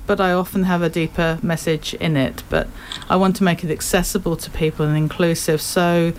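A woman's voice: on the low side, a little hiss or hum, poor audio. A woman in her fifties with an English accent, a guest artist chatting in a radio interview and laughing often. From England. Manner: chatty, laughs often, warm self-deprecating.

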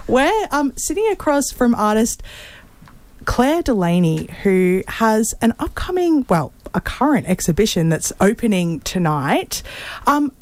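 A woman's voice: fairly high pitch, sing-song, measured pace. A young woman hosting a community radio arts show, bubbly, with frequent laughs and ums. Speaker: radio host. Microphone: studio microphone.

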